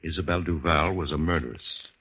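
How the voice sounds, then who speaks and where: An actor playing a grave, learned doctor of the supernatural, solemn and portentous, in a 1950s radio horror drama. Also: male voice, rumbling low, a little fast, slightly rough, heavily acted. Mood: grave, ominous. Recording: dull, lo-fi vintage radio recording.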